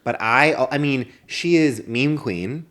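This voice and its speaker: A man's voice: medium pitch, slow pace, fairly bright tone. A man co-hosting a podcast in casual comedic chat, dramatizing his own anecdotes as he goes. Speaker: podcast co-host.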